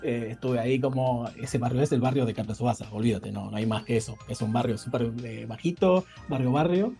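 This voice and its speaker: A man's voice: mid-range voice, brisk, vocal fry, warm tone, faint music behind. A middle-aged man from Argentina with a Rioplatense accent, chatting as a guest in an interview, rambling and restarting his sentences. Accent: Rioplatense Spanish. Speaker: guest, indie game developer. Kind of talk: casual interview chat.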